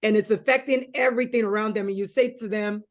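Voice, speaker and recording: A woman's voice: medium pitch, fairly even intonation, quick pace. A woman hosting an interview show, coaxing her guest through a guessing game with patient hints. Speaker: show host.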